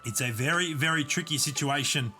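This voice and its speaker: A man calls a live online game tournament on his stream, talking through the action in a steady, explanatory way. His voice sits a little high and sounds bright and forceful on a desk condenser mic. Faint game music plays underneath. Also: brisk. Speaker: esports caster and streamer. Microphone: desk USB condenser mic.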